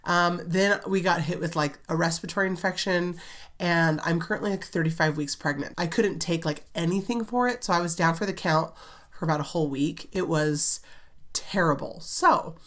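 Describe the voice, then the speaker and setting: A woman's voice: fairly low pitch, moderate pace, fairly bright tone. A woman hosting a casual storytelling vlog, chatty and giggly, full of "like" fillers, slightly congested as she recovers from a cold. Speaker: children's book author and channel host.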